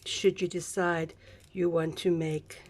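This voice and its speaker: A woman with a slightly croaky voice, giving a chatty, relaxed step-by-step craft tutorial with small asides. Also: fairly low pitch, takes it slowly, slight background noise. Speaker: craft instructor.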